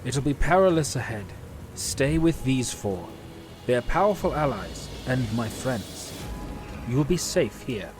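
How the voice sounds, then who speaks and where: A man's voice: mid-range voice, sing-song, unhurried, music in the background. A man playing an earnest, protective warrior leader in a fantasy audio drama, resolute and heroic.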